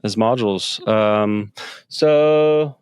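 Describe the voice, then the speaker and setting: A man with a smooth, resonant voice and a dry, close sound, speaking in a halting, casual way. He fills his answers with ums and restarts as he explains his gear. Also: fairly low pitch, darkish tone, no room sound. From United States. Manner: halting, many fillers and restarts. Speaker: guest musician. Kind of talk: casual interview talk. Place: home studio with synth rack.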